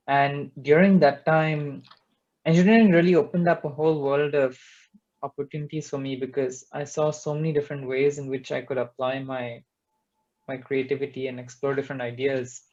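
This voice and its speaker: A man's voice: on the high side, a little mellow, highs cut off. A young man from India, a startup co-founder, speaking earnestly and reflectively on an online panel. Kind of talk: panel webinar talk.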